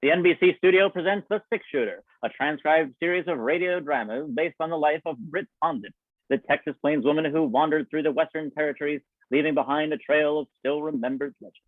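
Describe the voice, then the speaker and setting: A man's voice: on the high side, fairly quick, dry recording, narrow, tinny audio. A man hosting and announcing a radio show in a wry, theatrical way, over thin band-limited sound. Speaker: host and announcer. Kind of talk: scripted radio-show introduction with ad-libs.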